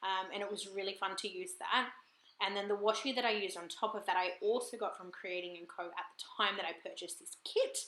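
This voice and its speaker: An Australian woman with a croaky, husky throat, chatting casually and apologetically in a voiceover for her planner vlog at home. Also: mid-range voice, steady tone, brisk, fairly bright tone, reverberant, poor audio. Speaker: planner vlogger.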